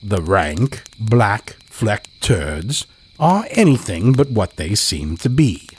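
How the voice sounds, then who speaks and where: Man narrating horror, low and very expressive. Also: takes it slowly, a little hiss or hum. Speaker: podcast host and storyteller. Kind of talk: scripted horror story narration.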